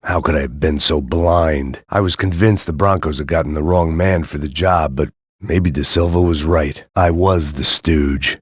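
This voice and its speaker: A man playing a jaded, middle-aged American action hero, narrating a noir video game in a gravelly, sardonic deadpan. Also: very deep voice, normal speed, no room sound, studio quality. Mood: weary, brooding. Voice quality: gravelly, husky. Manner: world-weary, sardonic, deadpan. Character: jaded hard-drinking middle-aged male action hero, cynical and bitter.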